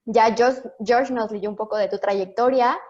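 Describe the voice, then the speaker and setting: A woman's voice: fairly high pitch, steady tone, unhurried, darkish tone, lo-fi sound. A woman co-hosting an online interview, polite and a little hesitant, with filler words. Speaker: co-host, interviewer.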